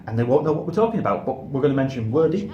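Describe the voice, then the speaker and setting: A man's voice: medium pitch, sing-song, rapid-fire, lo-fi sound. A middle-aged British man co-hosting a casual review chat, rambling and often repeating and restarting his phrases. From United Kingdom. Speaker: co-host of a film review show. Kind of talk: casual discussion and reminiscence. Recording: roomy echo, faint background noise.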